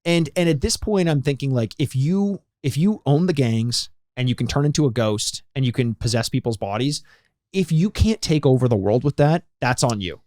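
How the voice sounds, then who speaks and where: A man's voice: medium pitch, animated, moderate pace, a little mellow, dry recording, clean studio sound. A man co-hosting a podcast in casual comedic film recap chat, swearing freely and exaggerating for effect. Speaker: podcast co-host.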